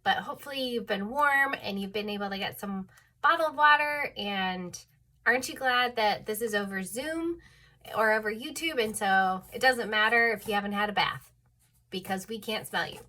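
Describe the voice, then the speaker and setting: A woman's voice: medium pitch, moderate pace, pushed and bright. A woman teaching an online music theory lesson, a little stuffed up, patiently explaining and often checking in with "okay?".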